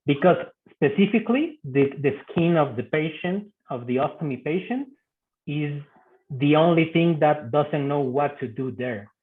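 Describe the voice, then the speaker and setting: A man from Mexico speaking English with a Mexican Spanish accent, giving an online medical talk in a halting way with many fillers and repeated words. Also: on the high side, animated. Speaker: guest speaker, physician. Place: video call. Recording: tinny phone-like video call audio.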